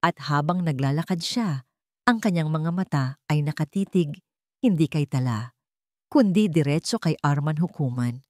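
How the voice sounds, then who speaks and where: A woman's voice: low voice, animated, moderate pace, darkish tone, dry recording, studio quality. A woman reading a scripted story aloud as a dramatic narrator.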